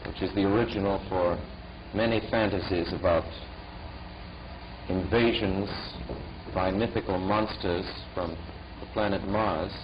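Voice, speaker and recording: A man giving a formal statement carefully, in short halting phrases. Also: low-pitched, steady tone. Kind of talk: formal spoken statement in an archive recording. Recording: dull, lo-fi archive recording, faint noise.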